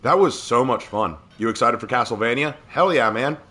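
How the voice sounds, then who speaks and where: A man with a slightly hoarse voice, casually chatting and reacting as he streams a game. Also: mid-range voice, animated, moderate pace, sharp tone. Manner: talkative, opinionated, laughs at the game. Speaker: livestreamer. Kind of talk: casual gameplay commentary.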